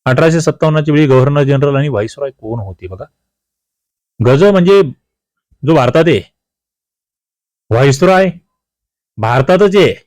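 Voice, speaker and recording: A male coaching teacher with a mid-pitched voice walks through exam questions. He is didactic, putting each question to the learner and then supplying the answer. The sound is dry, with very little room in it. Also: lively intonation, normal speed, long pauses, darkish tone, dry recording.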